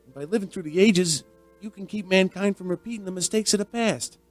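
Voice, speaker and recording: A man's voice: high-pitched, fairly quick, faint music behind, close to an everyday voice. An actor playing an earnest, sentimental male bartender who tells a strange tale in a dramatic radio play style. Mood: pleading. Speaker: bartender and storyteller. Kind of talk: radio drama acting and narration.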